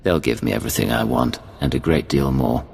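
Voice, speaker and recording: Very low, gravelly Imperial agent. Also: male voice, brisk, darkish tone, full character voice. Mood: cold, unyielding. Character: cool, confident male Imperial secret agent. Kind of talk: dramatic video game dialogue. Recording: long reverb, music behind.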